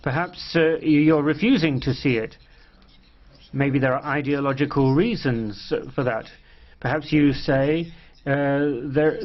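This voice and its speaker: A man speaking in a parliamentary debate, argumentative and pressing his point, with frequent hesitations. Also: a little high. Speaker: member of parliament. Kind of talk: debate speech. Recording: muffled parliament broadcast.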